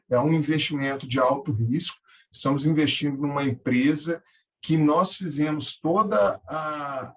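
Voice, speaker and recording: A man, answering interview questions conversationally and haltingly, often repeating words. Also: a little high. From Minas Gerais, Brazil. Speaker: podcast guest, tech founder and angel investor.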